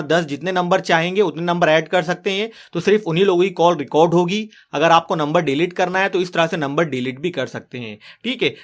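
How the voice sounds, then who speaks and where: A man narrating a step-by-step phone tutorial as the host, in an instructional way, addressing viewers as friends. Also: sits high.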